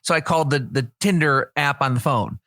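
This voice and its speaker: A man's voice: fairly high pitch, brisk, sharp tone, no room sound. A man playing an eager young caller on a phone dating service who insists too hard that he sounds manly, in a dry studio. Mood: insistent.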